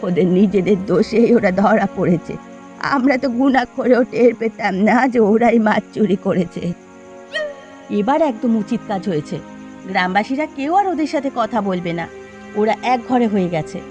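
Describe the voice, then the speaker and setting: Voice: mid-range voice, a little mellow, dull audio, lightly acted. A performer voicing gossipy, hospitable village women in a Bengali cartoon, with music behind. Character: village women, gossiping mother-in-law and daughter-in-law, hospitable but scornful. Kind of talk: dubbed Bengali cartoon dialogue.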